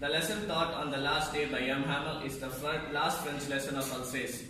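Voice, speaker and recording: A man's voice: a little high, normal speed. A young man, a student, narrating a school puppet show from a script in a stiff, recited announcing manner. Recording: distant mic, very echoey hall, clear noise.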